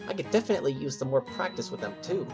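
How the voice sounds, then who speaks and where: A woman's voice: very deep voice, lively intonation, racing, over music. A woman playing an eager, scrappy spirit who is ready for a fight, in an amateur audio drama. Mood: eager, bold.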